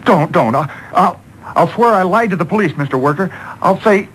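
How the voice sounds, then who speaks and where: Old radio drama coward: higher-pitched, jittery, stammering, pleading witness with jumpy intonation; slightly noisy recording. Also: male voice, lively intonation, moderate pace, a bit bright. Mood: pleading, terrified. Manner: stammering, panicky. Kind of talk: 1940s radio drama acting. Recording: home-taped old radio broadcast, faint noise.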